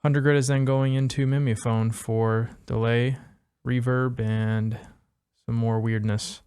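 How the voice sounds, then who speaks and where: A man's voice: medium pitch, little pitch movement, slow pace, darkish tone, clear, resonant tone. A man casually walking through audio gear as a reviewer, laid-back and self-deprecating in manner. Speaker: synthesizer module reviewer. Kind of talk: casual gear demo talk.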